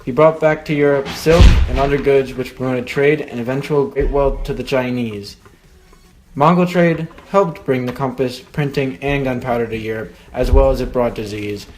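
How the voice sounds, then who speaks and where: A man narrates a newsreel from a script. His voice sits at a medium pitch, and he presents the material as a news anchor would. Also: moderate pace, a little mellow, rough recording.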